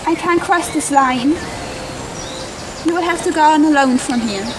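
A woman's voice: sits high, normal speed, background noise, natural voice. A young woman playing a solemn, cryptic forest spirit who protects all creatures, in an amateur fairytale film. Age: young adult. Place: outdoors in woodland.

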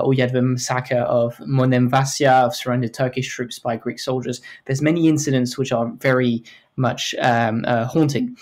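A man moderates a panel podcast in a mid-pitched voice. He lays out long, measured questions before handing over to a guest. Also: a little mellow. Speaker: podcast host.

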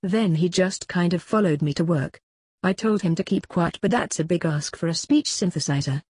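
A text-to-speech female voice playing a sardonic disembodied computer moderator, deadpan in scripted comedy dialogue. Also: lowish voice, moderate pace, husky, no echo. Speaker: moderator and sidekick.

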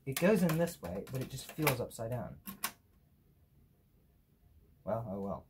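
A man's voice: medium pitch, very animated, unhurried. A young man thinking aloud casually in a hesitant, puzzled mutter. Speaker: participant trying out old technology. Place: small cluttered room at a desk indoors. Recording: clear background noise with clicks.

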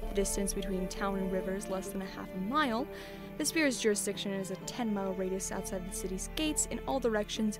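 A woman's voice: medium pitch, natural voice. A woman playing an assertive army sergeant and investigator in a fantasy audio drama, matter-of-fact and authoritative.

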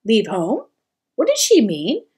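Woman doing a high, stammering young boy troll. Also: wildly swooping pitch, unhurried, dark tone. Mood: bewildered. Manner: stammering, pleading. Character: young gangly boy wood troll, anxious and timid.

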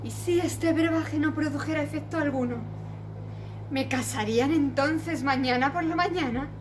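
A woman's voice: sits high, normal speed, background noise, close to an everyday voice. A woman playing a frightened young noblewoman in a declamatory classical stage monologue, full of dramatic questioning. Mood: anxious, doubting. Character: frightened young noblewoman agonizing over dark fears. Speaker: amateur stage actress.

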